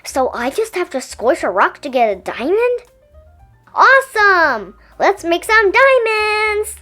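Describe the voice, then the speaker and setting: A woman voicing an eager, naive child in a picture book read-aloud, excitable and full of questions. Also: extremely high pitch, takes it slowly, faint music behind, pitched above the performer's own voice, full character voice. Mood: excited. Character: eager, naive child skeptic who doubts rocks.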